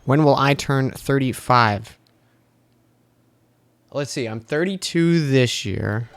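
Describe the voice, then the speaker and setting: A man's voice: medium pitch, expressive, slow, no echo. Playful male streamer in his thirties. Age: 30s. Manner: playful, joking, chatty with chat. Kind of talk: casual live-stream commentary over gameplay.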